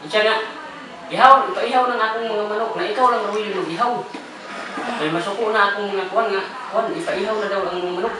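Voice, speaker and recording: A woman's voice: medium pitch, a little fast. A woman with a Cebuano accent chatting casually, recorded in a room. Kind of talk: casual chat. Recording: echoey, distant, noisy room recording.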